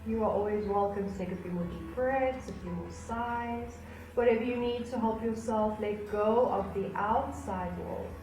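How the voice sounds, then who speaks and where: A woman teaches a yoga class, guiding listeners into relaxation. Her delivery is soothing and unhurried, with gentle pauses between instructions. The room adds a clear echo, and music plays faintly behind her. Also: mid-range voice.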